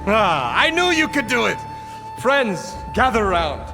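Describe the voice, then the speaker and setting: Pompous, swooping, higher-voiced frontier boss. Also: male voice, sing-song, a little slow, creaky, over music, full character voice. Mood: grandly proclaiming. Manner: pompous, theatrical, wheedling. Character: pompous self-important frontier boss and profiteer, smooth-talking schemer. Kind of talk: video game character acting.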